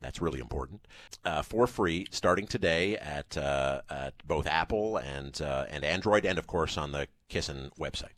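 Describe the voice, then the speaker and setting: A middle-aged man with a Canadian accent, speaking as an executive guest in a radio interview, giving a polished, articulate promotional pitch. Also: normal speed, fairly bright tone, no room sound.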